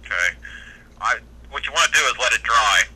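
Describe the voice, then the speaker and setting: A man's voice: medium pitch, animated, a little fast, sharp tone, slightly rough. An American man giving practical advice over the phone as a service worker, patient, matter-of-fact and plain-spoken. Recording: telephone line audio.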